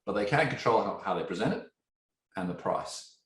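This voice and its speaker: Fifties English man, Aussie-tinged accent, lower pitch, lively storytelling guest in an echoey room. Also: brisk. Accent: English, softened by years in Australia. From England. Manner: animated storyteller, poses questions to his listener. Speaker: guest, tech entrepreneur. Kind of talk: conversational podcast interview. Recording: roomy echo.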